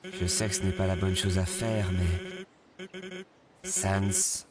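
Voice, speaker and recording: A man playing an earnest, remorseful and polite guardian of worlds in an animated dub. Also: sits low, slow, crowd noise, full character voice. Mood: earnest, pleading. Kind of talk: animated series dub acting.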